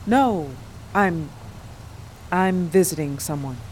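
A woman's voice: lowish voice, lively intonation, slow, darkish tone, a little hiss or hum. An actress playing a guarded, polite young woman with a wry, plainspoken manner in a naturalistic audio drama. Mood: hesitant, wry. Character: guarded, polite woman visiting an estranged mother's town.

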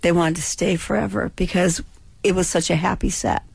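A woman's voice: fairly low pitch, fairly even intonation, normal speed, creaky, darkish tone, slightly rough, no room sound. A woman in her sixties from California, a former child actor chatting and joking as a radio guest, with a slight lisp. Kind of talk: casual radio interview chat.

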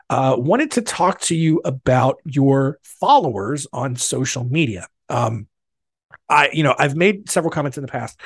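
A man with a slightly husky, raspy voice hosts his podcast in a loose, conversational way, stopping and restarting his sentences often. The sound is dry and close. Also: mid-range voice, dry recording. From North Carolina, USA. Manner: conversational, many fillers and restarts. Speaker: podcast host. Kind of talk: casual solo podcast talk.

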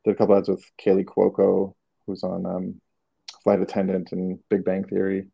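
Gravelly Canadian man, low dark voice. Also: monotone, normal speed, dull audio. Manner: hesitant, many restarts and fillers. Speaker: creative director, guest. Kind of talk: casual interview answers.

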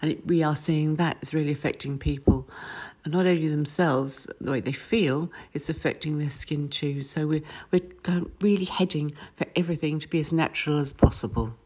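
A woman's voice: deep voice, a little fast, slightly rough. A woman speaking enthusiastically as a podcast guest, full of praise and repeated words. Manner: warm, enthusiastic, repeats "lovely". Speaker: guest, retreat owner. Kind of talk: casual podcast chat.

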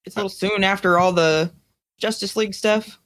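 A woman's voice: mid-range voice, fairly even intonation, fairly quick, fairly bright tone, dry recording. Woman chatting, dry close sound. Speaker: podcast co-host. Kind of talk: casual pop culture chat.

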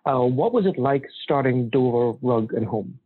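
A man's voice: medium pitch, lively intonation, moderate pace, slightly rough, no room sound. A man hosting an interview podcast, asking questions conversationally over a thin, narrow connection. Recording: thin, phone-like line.

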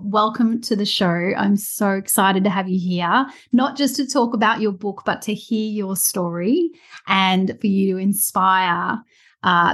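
A woman, hosting a casual interview podcast in an enthusiastic, chatty way. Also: mid-range voice. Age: 40s. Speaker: podcast host.

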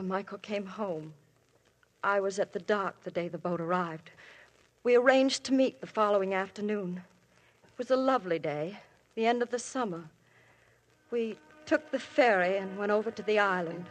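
A woman's voice: mid-range voice, moderate pace, long pauses, close to an everyday voice, rough recording. A woman playing a married woman questioned by police in a 1950s radio drama, earnest and emotional, her voice recalling the past. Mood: wistful. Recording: old radio broadcast.

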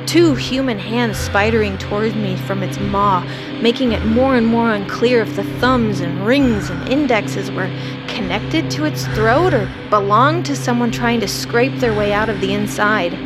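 An actress playing a self-possessed woman doctor in an audio drama, telling a horror story in an intense, deliberate, first-person monologue. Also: fairly high pitch, lightly acted. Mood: tense, haunted. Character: ambitious woman ER doctor recounting terror, cold and self-possessed. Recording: loud music bed under the voice.

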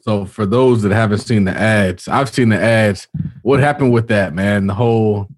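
A man co-hosting a podcast, asking relaxed, curious questions in casual chat. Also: lowish voice, normal speed, no room sound. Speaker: podcast co-host.

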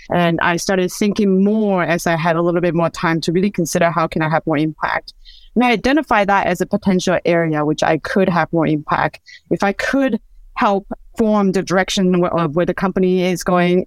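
A woman's voice: on the low side, dry recording. A woman in her forties from Taiwan, a podcast guest telling her career story in a thoughtful, conversational way with a few restarts. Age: 40s.

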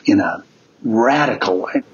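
A man's voice: lowish voice, animated, a little slow. An older man, a theater director, talking in an interview. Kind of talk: interview talk. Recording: dull lo-fi sound with clear noise.

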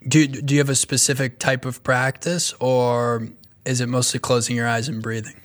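A man's voice: mid-range voice, little pitch movement, normal speed. A man hosting an interview who asks questions in an easygoing way, with small restarts. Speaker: podcast host.

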